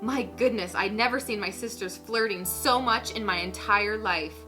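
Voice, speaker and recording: A woman in her twenties hosting a casual comedic vlog, giggly, animated and self-mocking, sometimes mock-solemn as she reads aloud. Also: medium pitch, normal speed, over music. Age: 20s. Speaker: vlog host reading own teenage writing. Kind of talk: casual comedic vlog chat with dramatic reading.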